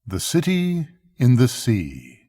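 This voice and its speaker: Older grandpa type guy reading poems at bedtime, mellow kinda raspy voice, takes it real slow and solemn. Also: medium pitch, wildly swooping pitch, dry recording, studio quality. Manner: measured, solemn, with pauses between phrases. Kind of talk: slow dramatic poetry reading.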